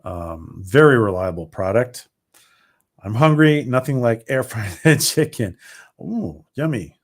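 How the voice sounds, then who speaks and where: A man hosting a casual livestream, rambling with many ums and restarts as his voice starts to go hoarse. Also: mid-range voice, lively intonation, takes it slowly. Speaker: livestream host. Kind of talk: casual livestream chat reading viewer comments.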